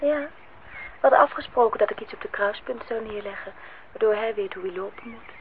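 An actress playing a lively young woman in a radio play, direct and chatty yet polite. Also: medium pitch, brisk, natural voice. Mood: matter-of-fact. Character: lively, frank young woman visiting an older acquaintance. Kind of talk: Dutch radio play acting. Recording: noisy, tinny old radio recording.

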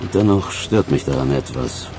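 An actor playing a provincial police lieutenant in a German radio crime drama. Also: male voice, very deep voice, lively intonation, normal speed, noisy background. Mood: speculative.

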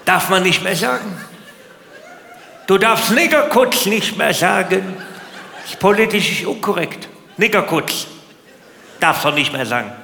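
A man's voice: high-pitched, lively intonation, measured pace, vocal fry, pauses often, bright, forceful tone. A man doing stand-up comedy with exaggerated indignation, throwing rhetorical questions at the audience. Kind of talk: stand-up comedy routine with rants. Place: large indoor live comedy venue. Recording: echoey hall, noisy live audience.

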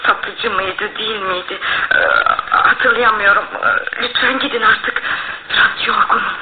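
A woman's voice: medium pitch, fairly even intonation, unhurried, lightly acted. An actress playing a frail, tired aristocratic lady, querulous and hesitant, in a radio drama. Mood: weary, hesitant. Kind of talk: Turkish radio theatre acting. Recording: tinny, band-limited old radio broadcast, faint noise.

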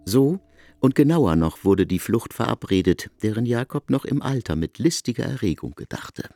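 A man narrating a literary radio drama, with expressive and carefully articulated storytelling. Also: lowish voice, normal speed, soft background music.

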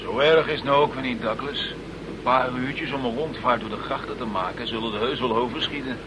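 An actor playing an unruffled, matter-of-fact British chief police inspector in a radio drama. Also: male voice, medium pitch, fairly quick, close to an everyday voice. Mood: easygoing. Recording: faint background noise, old radio play.

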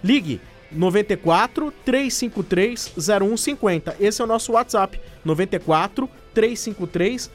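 A man's voice: high voice, expressive, moderate pace, faint music behind. A man with a São Paulo Brazilian accent hosting live radio, moving between casual banter and sponsor reads. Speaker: radio show host.

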